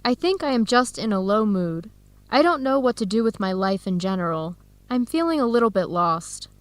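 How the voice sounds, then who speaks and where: A woman's voice: mid-range voice, lively intonation, normal speed, dry recording, lightly acted. A young woman acting an earnest, disheartened student in her early twenties in a radio drama, enunciating carefully for language learners. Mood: discouraged. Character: disheartened 22-year-old Ethiopian-American woman student, earnest, torn.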